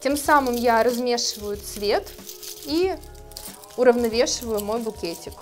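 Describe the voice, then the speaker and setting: A woman in her thirties, a florist, calmly explaining her steps in a tutorial, speaking gently to her viewers. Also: on the high side, measured pace, faint music behind. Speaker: florist teaching a course. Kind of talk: calm tutorial narration. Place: indoor studio with plants. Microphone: lapel mic.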